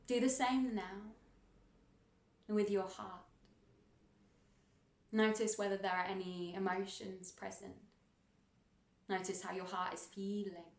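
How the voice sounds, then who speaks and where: A woman leading a guided meditation in a gentle, soothing, unhurried manner, leaving long pauses between instructions. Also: medium pitch. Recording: roomy, echoing sound.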